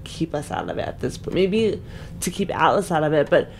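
A woman's voice: low-pitched, lively intonation, brisk, vocal fry, a little mellow, slight background noise. A young woman in a casual, emphatic discussion of a game story, speaking informally with lots of "like". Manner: informal, emphatic, frequent filler "like". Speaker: podcast panelist and tabletop game player. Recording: music playing behind the voice. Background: background music.

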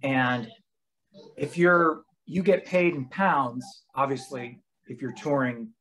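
A middle-aged American man hosting a casual video-call chat, speaking haltingly and repeating words as he goes. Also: mid-range voice, fairly bright tone, rough recording. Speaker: host and touring musician. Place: room at home with bookshelves.

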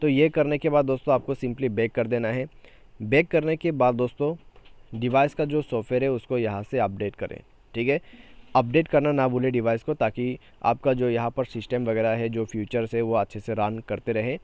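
A man's voice: medium pitch, a little mellow, clear, resonant tone, dry recording. A man presenting an informal step-by-step phone tutorial as a YouTuber, often tagging his sentences with a short check-in phrase.

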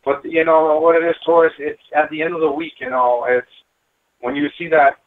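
A man's voice: a little high, little pitch movement, moderate pace. A middle-aged man talking casually and earnestly as an interview guest, peppering his speech with "you know". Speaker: guest, building engineer. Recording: thin phone-line sound.

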